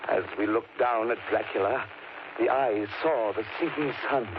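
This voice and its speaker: A man's voice: mid-range voice, moderate pace, lightly acted. Intense, horrified storyteller played by a man. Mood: tense, horrified. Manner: intense, dramatic. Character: horrified man recounting a vampire struggle. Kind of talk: 1930s radio horror acting. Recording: dull, noisy 1930s radio recording.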